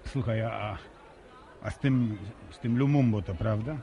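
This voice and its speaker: An actor playing a bashful young village farmer in a radio drama, stammering and hesitant in colloquial rural speech. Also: male voice, fairly low pitch, normal speed, muffled recording, some chatter behind, lightly acted. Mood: earnest. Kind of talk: naturalistic Polish radio drama acting. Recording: radio drama with faint background noise.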